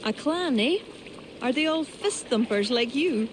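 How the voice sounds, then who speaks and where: An actress with an Irish accent playing a witty, mischievous court poetess with a sly, teasing manner. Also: female voice, high voice, lively intonation, slight background noise. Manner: sly, teasing and quick-tongued.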